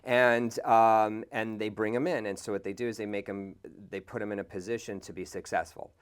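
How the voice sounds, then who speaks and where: A man who teaches English, talking casually in an interview, relaxed and rambling with many restarts. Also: on the low side, a little fast, clear, resonant tone.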